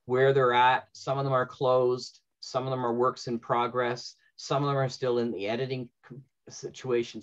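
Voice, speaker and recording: A middle-aged man hosting an informal panel, thinking aloud in a conversational way over a lo-fi video call. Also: mid-range voice. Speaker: panel host and audio drama writer. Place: indoor room at home, on a video call. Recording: dull lo-fi video call audio.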